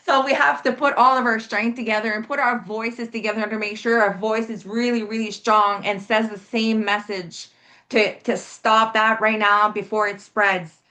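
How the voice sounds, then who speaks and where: A woman's voice: on the high side, level tone, moderate pace, sharp tone. A Canadian woman speaking as an interview guest, earnest and emphatic, often repeating her point. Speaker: guest, health coalition organizer. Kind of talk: casual interview talk.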